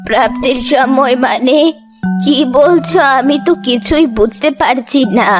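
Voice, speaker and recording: A woman playing a poor, good-hearted old village mother in a cartoon folk tale, earnest and expressive. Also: high-pitched, a little slow, over music. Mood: surprised, curious. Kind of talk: animated folk tale acting.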